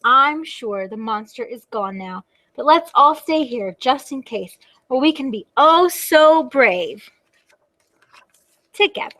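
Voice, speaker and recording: Woman acting a small storybook dragon, high voice, grandiose, boastful, jumpy, very dry sound. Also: expressive, fairly bright tone, no echo, full character voice, low-quality recording. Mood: bravely protective. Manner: grandiose, drawn-out declarations and exclamations. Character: little young dragon, boastful, theatrically brave, easily startled. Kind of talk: storybook read-aloud.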